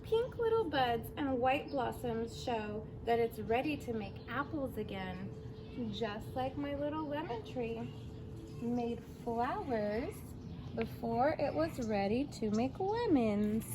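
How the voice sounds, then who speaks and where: High-voiced woman reading to children. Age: 30s or 40s. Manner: expressive, drawn-out words, simple questions to young listeners. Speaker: teacher reading a picture book to children. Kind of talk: children's story read-aloud with asides. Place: outdoors in a fenced backyard. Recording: outdoor recording with clear background noise.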